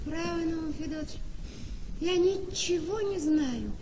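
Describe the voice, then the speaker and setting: A noisy radio drama recording of a trusting young wife. Her voice is high and full of swooping inflection, genteel, earnest and artless. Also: unhurried, mellow, big-room sound. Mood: naive, puzzled. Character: trusting, naive devoted young wife of a landowner. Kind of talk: theatrical radio play acting.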